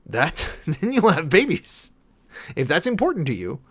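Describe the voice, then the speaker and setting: A man's voice: fairly high pitch, huge pitch swings, measured pace, a bit bright, husky. A man giving casual, opinionated livestream commentary as the host, informal and emphatic, often repeating phrases for stress. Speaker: live streamer hosting a political commentary show.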